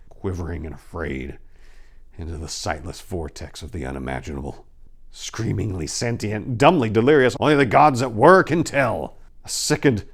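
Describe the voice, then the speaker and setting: Man performing dread-stricken storyteller, low pitch, solemn, theatrical, swelling and sinking, gothic horror reading. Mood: fearful, hushed, then horrified, frenzied.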